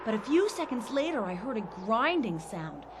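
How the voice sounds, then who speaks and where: A woman's voice: on the high side, big pitch swings, moderate pace, noisy background. A woman playing a plucky, anxious adventurer in a science fiction radio drama, speaking in an earnest, candid way. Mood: tense, recounting. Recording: loud ambient sound effects behind.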